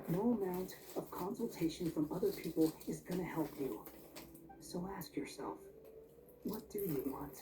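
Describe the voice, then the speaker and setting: A woman voicing a blunt, brooding young loner in a video game, terse and dry but grudgingly helpful. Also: deep voice. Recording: distant, noisy captured game audio.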